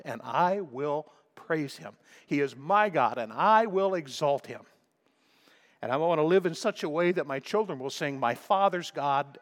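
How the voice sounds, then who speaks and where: A man preaching a sermon with emphatic delivery, often clearing his throat, his voice a little crackly. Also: mid-range voice, fairly bright tone. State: slightly crackly voice. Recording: long reverb of a large hall.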